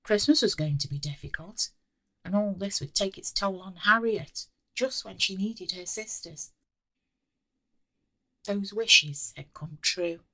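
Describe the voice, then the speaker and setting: A woman author reading her own story aloud in an expressive scripted narration. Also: medium pitch, unhurried, no echo, rough recording. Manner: expressive, acts out the dialogue.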